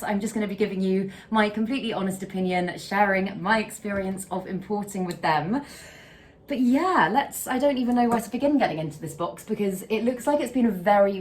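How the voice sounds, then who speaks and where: A young woman from the UK, a houseplant vlogger, talking in a casual, gushing way with a slightly croaky voice. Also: medium pitch. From United Kingdom. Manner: gushing, excitable, repeats words, breaks into laughs. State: slightly croaky from a late night. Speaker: houseplant content creator. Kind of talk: casual unboxing vlog.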